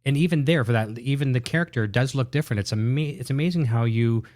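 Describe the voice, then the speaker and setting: A man's voice: medium pitch, fast, darkish tone. A man hosting a show, chatting with a guest and reading out viewer comments. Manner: upbeat, reads viewer comments. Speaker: show host. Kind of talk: casual interview chat.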